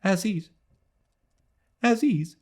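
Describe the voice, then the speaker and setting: A man voicing a distraught, remorseful young Englishwoman, high and pleading. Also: very slow, a little mellow, breathy edge, heavily acted. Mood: anguished pleading.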